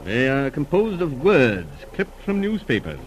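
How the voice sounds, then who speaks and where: An actor playing an earnest, courteous doctor in a 1940s radio drama, speaking in a measured, slightly hesitant way. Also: male voice, mid-range voice, sing-song. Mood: grave, confiding. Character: earnest, courteous male physician confiding a mystery. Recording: noisy old radio recording.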